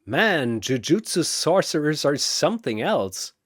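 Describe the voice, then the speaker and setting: A man's voice: on the high side, very animated, a little slow, a bit bright, slightly rough, no echo, studio quality, voice pushed up, full character voice. A man voicing a boyish, good-natured, easily flustered superhero with casual youthful speech, as in a fantasy battle story. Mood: friendly.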